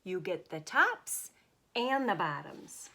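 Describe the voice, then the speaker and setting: A woman voicing a clever, chipper male hare in a children's storybook, eager and persuasive as he pitches to his neighbor. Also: very animated, takes it slowly, vocal fry, pushed and bright, full character voice.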